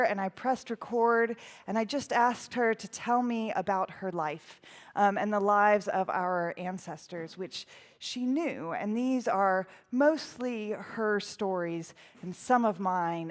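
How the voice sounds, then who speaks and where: A woman priest in her thirties from the Houston area, giving a chatty storytelling talk with fillers and asides. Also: a little high. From United States (Houston area). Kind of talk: storytelling talk with audience quiz.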